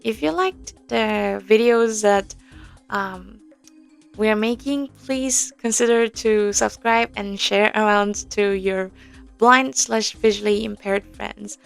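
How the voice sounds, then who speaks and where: A young woman talks slowly and unhurriedly, explaining a game in a casual walkthrough. She hesitates often, filling gaps with ums and small nervous laughs. Faint music sits behind her. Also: mid-range voice, creaky, clean tone. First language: Korean. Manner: hesitant, many fillers, soft nervous laughs. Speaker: audio game tutorial presenter.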